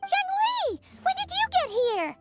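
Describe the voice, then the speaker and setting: A woman voicing a tiny, childlike female fairy companion in a video game, earnest and excitable, with a squeaky voice. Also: a little slow, full character voice. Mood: excited, grateful. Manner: refers to self in third person, eager. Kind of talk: video game character acting. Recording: loud music behind the voice. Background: music.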